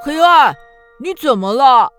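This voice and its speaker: The sun as a caring, encouraging grown-up friend in a children's radio play. The voice is high and takes its time, with gentle, expressive phrasing over faint music. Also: female voice, a little slow. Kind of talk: children's radio drama acting.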